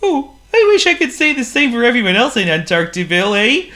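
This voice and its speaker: A man voicing a cheerful, chatty male penguin in a storybook reading, with a high sing-song delivery. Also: sing-song, brisk, pushed and bright, exaggerated voice. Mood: gloomy.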